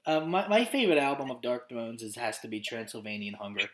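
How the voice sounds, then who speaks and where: A man's voice: mid-range voice, brisk. A man from Romania chatting casually as interviewer, stammering and hesitant with lots of fillers. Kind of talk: casual chat.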